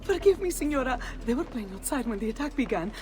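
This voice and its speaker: An actress playing a frightened, apologetic servant in a video game. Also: female voice, on the high side, big pitch swings, quick pace, darkish tone. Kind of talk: video game cutscene acting.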